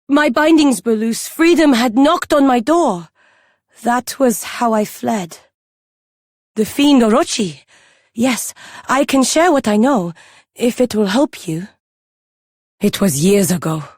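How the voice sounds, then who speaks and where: A slow-spoken, pleading young maiden. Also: female voice, mid-range voice, a little mellow, no room sound, studio quality. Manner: pleading, solemn, halting. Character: gentle, fearful young maiden in hiding. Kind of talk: video game voice acting.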